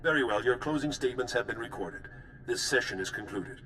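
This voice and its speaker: A man's voice: medium pitch, brisk, sharp tone, faint music behind, lightly acted. An actor playing a formal male court official in a video game, with an impassive, procedural manner. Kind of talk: video game character dialogue.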